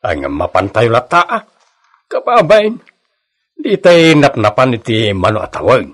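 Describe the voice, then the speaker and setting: A man's voice: mid-range voice, wildly swooping pitch, a little slow, breathy edge, full character voice. An actor with an Ilocano accent playing a chatty, teasing father and friend in a radio drama. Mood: fatherly.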